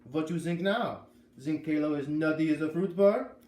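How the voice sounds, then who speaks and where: A man's voice: on the high side, moderate pace, darkish tone, lightly acted. A man voicing an angry, blustering dog trainer with a put-on German accent and broken English, in a read-aloud storybook. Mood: furious, accusing. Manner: emphatic, blustering. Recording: echoey room, faint background noise.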